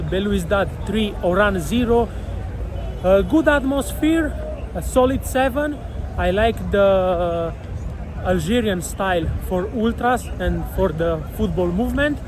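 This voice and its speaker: High-voiced man vlogging. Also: music in the background, crowd noise. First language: Romanian. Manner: halting non-native English with many fillers. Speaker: football travel vlogger. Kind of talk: casual travel vlog narration.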